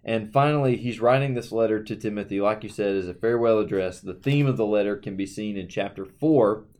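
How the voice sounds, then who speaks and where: A man's voice: lowish voice, fairly quick, a little mellow. A man co-hosting a Bible podcast, setting up the text and reading verses aloud steadily. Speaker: podcast co-host. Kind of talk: reading and explaining scripture.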